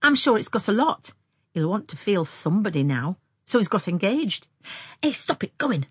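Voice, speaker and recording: A woman voicing a brisk, blunt and curious young country girl in colloquial, exclamatory audiobook dialogue. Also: medium pitch, sing-song, breathy edge, no room sound.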